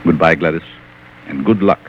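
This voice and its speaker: A man with a Hungarian accent playing a sinister, gloating psychologist in a 1940s radio suspense play, deliberate and mockingly polite. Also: a bass voice, loud music behind the voice. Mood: calm, instructing. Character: sinister, gloating male psychologist plotting murder. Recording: muffled, noisy 1940s radio recording.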